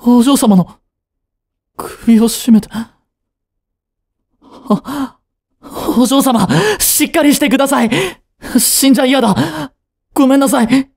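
音声ドラマで、丁寧な口調で感情の激しい、執着に狂っていく若い執事を演じる男性。. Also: male voice, very high-pitched, takes it slowly, vocal fry, long pauses, a little mellow, no echo, clean studio sound, exaggerated voice. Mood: panicked, tearful. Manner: formal, polite servant speech, intensely emotional. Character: devoted young male butler turning obsessive and unhinged. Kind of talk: Japanese romantic situation voice drama.

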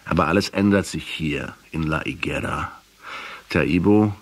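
A man playing a pensive middle-aged historian who narrates a radio drama in a husky, reflective, measured way. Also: very deep voice, full character voice. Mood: sober narration. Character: pensive 39-year-old male historian questioning a captive.

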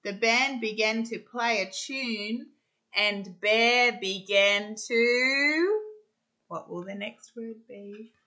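An Australian woman in her thirties reading a picture book aloud to children in an expressive, sing-song way. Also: on the high side. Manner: deliberate, sing-song storytelling delivery. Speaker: primary school teacher reading storytime. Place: home kitchen, indoors.

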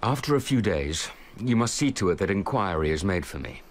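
A shrewd, resolute young nobleman in a radio play. The voice is low and formal, measured and earnest, over faint background noise. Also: sing-song. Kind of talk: radio drama acting.

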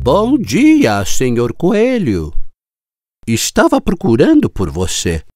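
A woman voicing a calm, confident tortoise in a children's cartoon, speaking in a deliberate, drawn-out manner. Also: rumbling low, no room sound, clean studio sound, soft background music, heavily acted. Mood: calm, polite. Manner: slow, deliberate, drawn-out delivery. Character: calm, confident slow tortoise challenging a hare.

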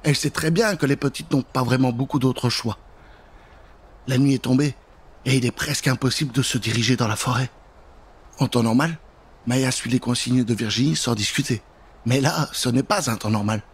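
A man in his thirties telling a children's tale in an expressive, theatrical style, close to the microphone. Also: mid-range voice, husky. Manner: expressive, dramatic. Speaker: storyteller. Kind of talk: theatrical scripted storytelling for children. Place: indoor recording setup. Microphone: close microphone with pop filter.

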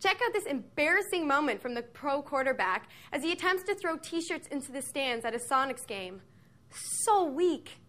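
A woman's voice: high voice, sing-song, moderate pace, fairly bright tone, slight background noise. A young woman in her twenties hosting a sports news show, reading a script with sarcastic, mocking asides from a studio on a lapel mic. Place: television studio set.

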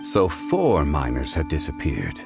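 Deep, composed gentleman scholar. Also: wildly swooping pitch, vocal fry, over loud music, exaggerated voice. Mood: calm, pensive. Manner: measured, formal, deliberate. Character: composed, erudite gentleman investigator, male, young-looking, calmly analytical. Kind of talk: video game voice acting.

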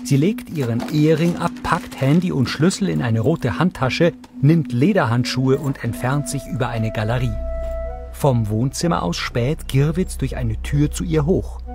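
A man's voice: medium pitch, moderate pace, over music. A man reading scripted audio description narration for a film.

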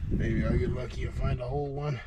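A man explaining an old find in a laid-back, soft-spoken way while out in a field. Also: mid-range voice, steady tone, fast, crowd noise. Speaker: detecting partner. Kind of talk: casual explaining. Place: outdoors in a field. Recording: dull, noisy outdoor audio from off-mic.

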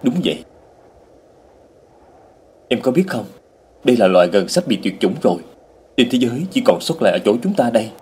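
Animated, earnest, flustered husband. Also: male voice, medium pitch, brisk, long pauses, slightly rough. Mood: urgent. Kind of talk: dubbed animation acting.

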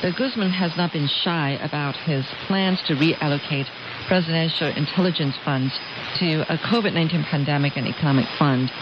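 A man with a fairly high voice reads scripted news as a radio presenter. His delivery is formal and measured, phrase by phrase. The shortwave broadcast is dull and lo-fi, with noise clearly over it.

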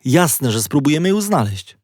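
A man voicing a cautious young boy who keeps secrets, speaking briskly and conspiratorially in a children's audiobook. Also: a little high, sing-song, a little mellow, husky, dry recording, studio quality. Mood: secretive.

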